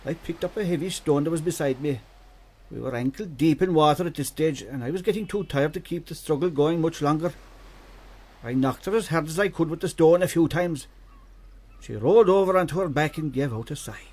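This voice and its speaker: An actor playing a genial old storyteller from an island, with an Irish accent, folksy and vivid, in a radio drama. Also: male voice, fairly high pitch, a little fast, a little mellow, natural voice. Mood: animated storytelling. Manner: folksy, teasing, vivid narrator. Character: genial old island storyteller, male, spinning yarns for children.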